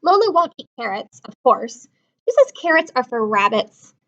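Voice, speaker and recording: High, lively woman teacher. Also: moderate pace, vocal fry, lo-fi sound. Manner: simple explanations, repeats for emphasis. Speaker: teacher reading aloud to children. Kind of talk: children's read-aloud lesson, explaining vocabulary.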